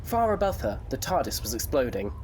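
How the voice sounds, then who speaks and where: A man's voice: mid-range voice, big pitch swings, brisk, background noise. A man narrating a scripted story for an audio drama in a wry, playful storytelling manner.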